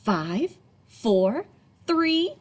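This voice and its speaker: A woman reading a picture book aloud to children expressively, in a teacherly way, pausing for young listeners. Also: fairly high pitch, very animated.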